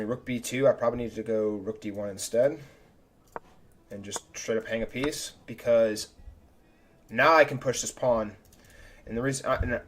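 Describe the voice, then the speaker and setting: A young man, a chess streamer, talking casually and self-critically through his own game, his voice a little raspy. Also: medium pitch. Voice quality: slightly raspy. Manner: chatty and self-critical, thinking aloud move by move. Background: faint background music.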